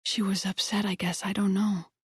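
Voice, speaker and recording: A woman's voice: mid-range voice, fairly even intonation, normal speed, gravelly, darkish tone, breathy edge, no room sound, studio quality. A woman voicing a tense, evasive young man. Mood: shaken.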